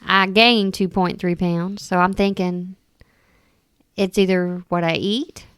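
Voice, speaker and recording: A woman from the Southern United States co-hosting a podcast in casual chat, self-deprecating and quick to laugh. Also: lowish voice, steady tone, a bit bright, smooth, no echo, studio quality. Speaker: podcast co-host.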